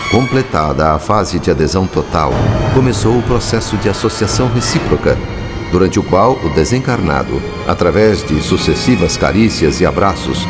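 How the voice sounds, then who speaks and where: A man narrating a scripted audiobook in a formal, literary and dramatic manner. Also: fairly low pitch. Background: music.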